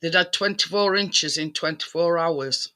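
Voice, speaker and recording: Bloke, really sharp bright voice, bit high, rambly casual chat, keeps stalling for words. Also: male voice. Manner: rambling, halting, searching for words.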